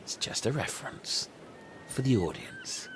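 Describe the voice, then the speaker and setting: A man's voice: mid-range voice, huge pitch swings, a little slow. A man performing scripted comedy dialogue. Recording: clearly noisy background.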